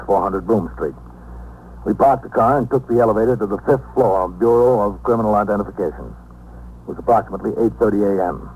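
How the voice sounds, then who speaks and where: An actor playing a calm, methodical police captain in a 1950s radio drama, speaking and narrating in a measured, matter-of-fact way. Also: male voice, fairly low pitch, phone-quality sound, natural voice. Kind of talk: 1950s radio acting, dialogue and first-person narration. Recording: noisy, humming 1950s radio recording.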